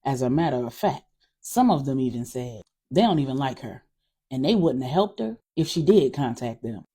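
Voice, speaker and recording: Woman as male investigator, informal report, husky, mellow, story dialogue. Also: very deep voice, expressive, moderate pace, voice pushed down, full character voice. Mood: matter-of-fact.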